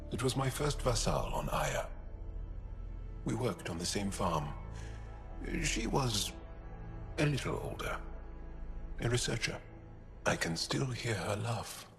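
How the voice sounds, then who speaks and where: An actor playing a grieving, thoughtful alien resistance fighter in a video game, speaking haltingly and softly over music. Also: male voice, medium pitch, vocal fry, heavily acted. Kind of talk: video game cutscene acting. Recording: music under the voice.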